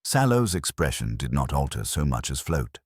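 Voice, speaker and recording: A man reading scripted literary narration in a measured, formal, wry manner. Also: a bass voice, sing-song, breathy edge, no room sound, clean studio sound. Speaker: audiobook narrator.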